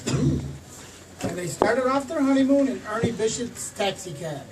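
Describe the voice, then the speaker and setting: Actress as patient, fussy head angel, off-mic. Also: female voice, on the high side, lively intonation, a little slow. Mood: patient, explaining. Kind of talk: stage re-creation of 1940s radio drama. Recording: distant mic, faint background noise.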